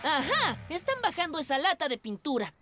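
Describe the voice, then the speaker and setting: Cocky, high-pitched little boy motorbike. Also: very animated, fairly quick, music in the background. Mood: boastful. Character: cocky, eager little boy motorbike, self-styled helper. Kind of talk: children's cartoon dubbing.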